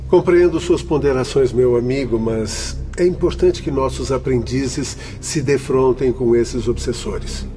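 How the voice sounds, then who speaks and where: An actor playing a learned, composed doctor and mentor in a radio drama, speaking formally and explaining in a measured way. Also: male voice, mid-range voice, mellow, a little hiss or hum, close to an everyday voice. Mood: composed, earnest.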